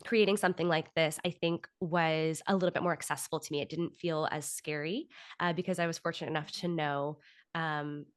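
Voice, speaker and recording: A woman in her thirties with a low-pitched voice talks as a guest in an interview. She is articulate and reflective, laying out her thoughts at length in a conversational way. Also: steady tone, brisk. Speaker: interview guest, author and actor.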